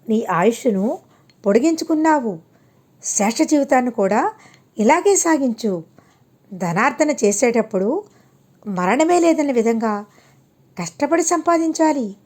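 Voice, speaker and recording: A benevolent hermit sage from a moral tale , given voice by a woman narrator. He hands down solemn counsel in a high, mellow, unhurried-sounding storybook reading. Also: animated.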